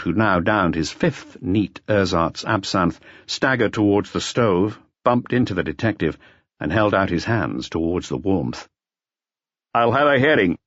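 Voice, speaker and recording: Low-voiced man narrating, very lively intonation. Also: no echo, muffled recording. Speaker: audiobook narrator. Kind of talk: scripted literary narration.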